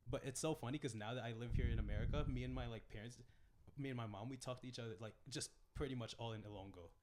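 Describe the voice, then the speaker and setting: A man's voice: mid-range voice, fast talker. A young man from the Philippines chatting casually as a podcast co-host, swearing freely. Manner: casual, swears freely, animated when quoting family. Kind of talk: casual chat. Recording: noisy recording.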